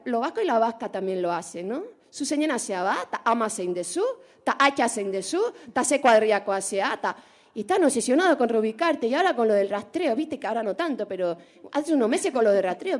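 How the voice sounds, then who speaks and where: A woman in her forties playing a nosy, chatty Argentine woman with a put-on Rioplatense accent, teasing and drawing out her vowels. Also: sits high. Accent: Rioplatense Spanish put on. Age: 40s. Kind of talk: comic monologue impression.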